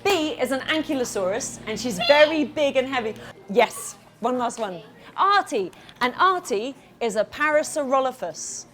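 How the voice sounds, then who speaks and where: A woman reads a storybook aloud to a room of children. Her voice sits fairly high and swoops expressively. She is animated and interactive, breaking off to explain and ask questions like an author at a live event. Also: normal speed. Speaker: children's author reading own book.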